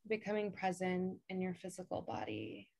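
Female meditation guide: lowish register, very slow pacing, calm instruction, close dry sound. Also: vocal fry, dry recording. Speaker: podcast co-host and meditation guide.

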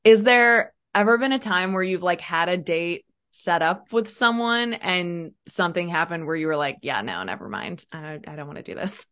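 A woman's voice: lowish voice, normal speed, hardly any pauses, a bit bright. A woman hosting a podcast, asking questions and agreeing supportively in casual conversation. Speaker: podcast host. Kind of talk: casual interview chat.

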